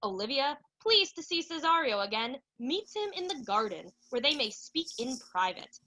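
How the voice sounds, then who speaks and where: Female radio-play narrator, very lively intonation, high pitch, theatrical, 1940s announcer style. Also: moderate pace, poor audio.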